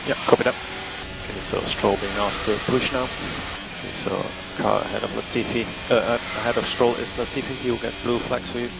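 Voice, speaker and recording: A man working as a race engineer, giving measured, matter-of-fact instructions over team radio and repeating the key ones. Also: lowish voice, level tone, vocal fry, over loud music, rough recording. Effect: team radio transmission. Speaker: Formula One race engineer. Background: vehicle and engine noise.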